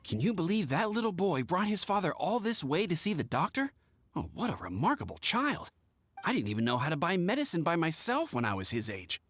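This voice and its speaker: A very animated, friendly shop assistant. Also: male voice, fairly high pitch, brisk, full character voice. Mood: amazed. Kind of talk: scripted game voice acting.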